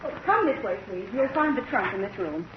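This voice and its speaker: An actress playing an anxious, sweet, unsuspecting wife, polite and concerned, in a 1950s radio drama. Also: female voice, mid-range voice, big pitch swings, brisk, close to an everyday voice. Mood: bright, concerned. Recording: muffled, hissy vintage radio recording.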